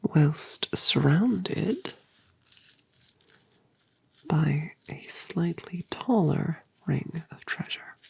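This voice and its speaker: A woman voicing a colossal, gentle, motherly dragoness in a whispered audio drama, soothing and affectionate with genteel phrasing. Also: deep voice, creaky, breathy edge, heavily acted, low-quality recording. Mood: gently instructive. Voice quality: soft whisper.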